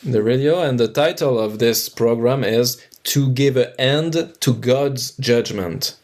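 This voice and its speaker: A man explaining things casually on a podcast, halting as he searches for words. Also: medium pitch, darkish tone. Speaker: guest discussing theatre history.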